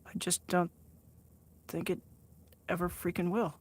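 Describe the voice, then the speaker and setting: A woman's voice: on the low side, flat delivery, a little slow, a little mellow, breathy edge. A woman in her sixties chatting casually on a livestream, answering viewer comments, laughing often and teasing herself. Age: 60s. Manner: chatty, laughs often, self-deprecating, frequent restarts. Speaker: art livestreamer reading chat.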